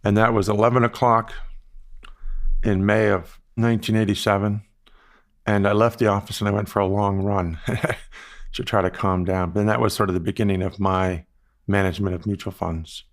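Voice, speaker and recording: A man in his sixties from the Bahamas, telling stories about his career in a measured, reflective way, as an interview guest. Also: on the low side, little pitch movement. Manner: measured, reflective, with frequent "you know" asides. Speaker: guest, investment firm founder. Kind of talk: relaxed storytelling interview answers.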